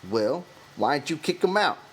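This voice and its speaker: A man voicing a disapproving ranch worker in an audiobook, gruff and scolding in rural vernacular. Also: a little high, sing-song, normal speed, voice pushed up. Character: stern ranch worker, disapproving leader of his friends. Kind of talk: audiobook character voice.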